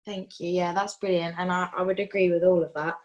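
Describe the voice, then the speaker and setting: A woman's voice: lowish voice, little pitch movement, brisk, darkish tone, highs cut off. A woman hosting an online careers panel, asking questions and affirming the guests' answers. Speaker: host. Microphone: video call.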